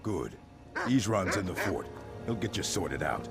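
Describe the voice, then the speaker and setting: A man voicing a burly, blunt orc vampire hunter in a video game, gruff and brisk. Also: sits low, expressive, over music. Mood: brisk, approving. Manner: gruff, matter-of-fact, brisk. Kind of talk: video game character acting.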